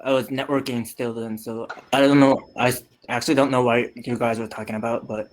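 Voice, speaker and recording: A man's voice: mid-range voice, little pitch movement, fairly quick. A young man from Japan speaking on a video call, groping for words with many fillers and restarts. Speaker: youth participant. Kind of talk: casual video call chat.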